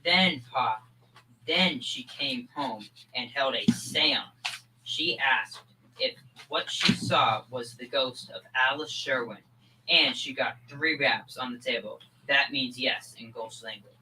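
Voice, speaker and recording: A woman's voice: very deep voice, slow, noisy background. A young performer playing an earnest, gullible thirteen-year-old boy in a school old-time radio comedy. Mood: insistent, awed. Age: young teen.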